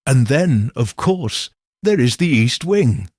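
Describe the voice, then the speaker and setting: A man voicing an anxious, formal gentleman client in a Victorian mystery audiobook. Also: mid-range voice, very animated, takes it slowly, husky, no room sound, studio quality, full character voice. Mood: grave. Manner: hesitant, uneasy, formal.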